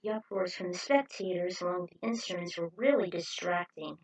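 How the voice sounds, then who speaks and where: A woman's voice: lowish voice, fairly quick, poor audio. A woman reading scripted fiction aloud as its narrator, in the manner of an amateur storyteller presenting their own writing. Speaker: narrator and writer of fan fiction.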